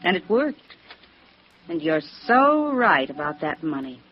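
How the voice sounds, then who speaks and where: An actress playing a hard, scheming femme fatale with a defiant, coaxing manner in 1950s radio noir style. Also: female voice, lowish voice, big pitch swings, a little slow. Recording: muffled, noisy vintage radio recording.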